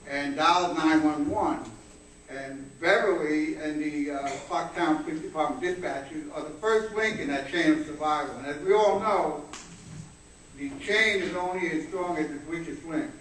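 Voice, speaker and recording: A man giving a public commendation as head of a paramedic service, earnest, explanatory and a little halting. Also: on the high side, a bit bright. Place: indoor public meeting room. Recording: echoey room, distant mic, faint noise.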